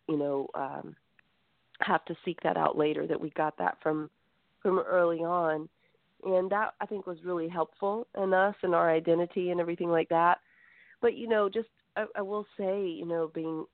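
A woman's voice: deep voice, no room sound. A woman born in Greece, a musician guest in a radio interview, talking reflectively with many fillers over a phone line.

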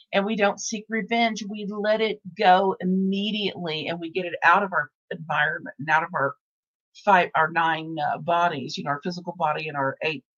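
A woman's voice: fairly low pitch, a bit bright, muffled recording. A woman in her sixties hosting a casual talk show, rambling and repeating herself with many restarts. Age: 60s. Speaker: host. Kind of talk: casual podcast chat.

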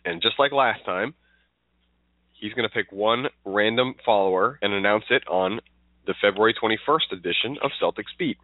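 Congested male podcast co-host, lowish voice, tinny dry phone-like audio. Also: no echo. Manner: rambling, loose with restarts. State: stuffed up, congested. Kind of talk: casual sports podcast chat.

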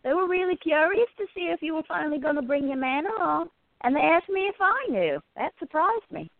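A woman voicing a quiet, curious gallery worker in a radio play, chatty and gently prodding her friend. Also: sits high, sing-song, fairly quick, creaky, no room sound, pitched above the performer's own voice, exaggerated voice. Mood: curious, gossipy. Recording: tinny, phone-like narrow sound.

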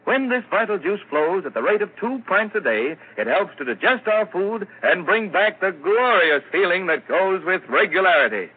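High, animated male radio announcer. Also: moderate pace, few pauses. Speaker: radio announcer reading adverts. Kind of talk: 1940s radio commercial pitch. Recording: muffled, tinny 1940s radio recording.

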